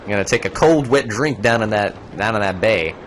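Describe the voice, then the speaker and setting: A man's voice: lowish voice, expressive, brisk, a bit bright, a little hiss or hum. A young man streaming a video game, chatting casually, rambling and joking as he plays. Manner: rambling, joking, breaks into goofy voices. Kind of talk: casual gaming commentary.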